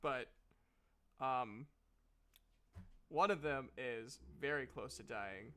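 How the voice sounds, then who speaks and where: A man's voice: medium pitch, slow pace, pushed and bright. A man narrating a tabletop role-playing game as game master, casual and hesitant, swearing now and then.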